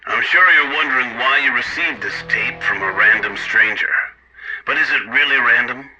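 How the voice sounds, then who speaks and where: Prominent music runs behind a mysterious, menacing stranger , played by a male actor. He narrates like the host of a noir audio drama, addressing the listener with insinuation and suspense. His pitch sits in an ordinary male range. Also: bright, forceful tone, dull audio. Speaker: anthology narrator.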